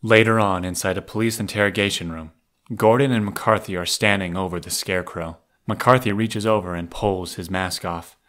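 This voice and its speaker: A man's voice: deep voice, steady tone, normal speed, fairly bright tone. A man reading scripted action narration for an audio drama. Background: music.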